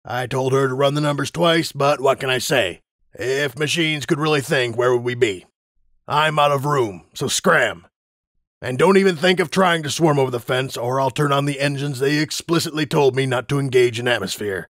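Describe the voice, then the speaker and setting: A man's voice: mid-range voice, moderate pace, fairly bright tone, no room sound, close to an everyday voice. Jittery male griffin, self-serving storybook pilot, man reading it, dry sound. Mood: gruff.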